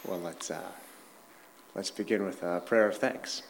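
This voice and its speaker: A man's voice: low voice, fairly even intonation, unhurried, creaky, noisy background. A man who opens with an earnest prayer and leads the interview, joking with his guest. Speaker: pastor leading the interview.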